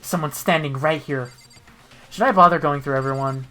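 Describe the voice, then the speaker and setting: A man's voice: a little high, moderate pace, bright, forceful tone. Joking male streamer over game music. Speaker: gaming streamer reading the game aloud. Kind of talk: casual playthrough chat and narration.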